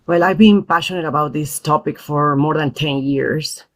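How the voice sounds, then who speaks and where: A woman who grew up in Peru, a mother and parent advocate, speaking as a podcast guest in an earnest, thoughtful way with frequent pauses and fillers. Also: deep voice. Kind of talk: podcast interview.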